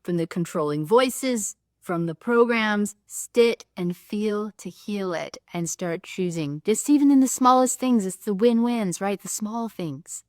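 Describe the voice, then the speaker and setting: Woman, spiritual co-host, encouraging tone, mid pitch, dry close sound. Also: expressive, a little slow, hardly any pauses, no echo. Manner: encouraging, affirming. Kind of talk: spiritual talk.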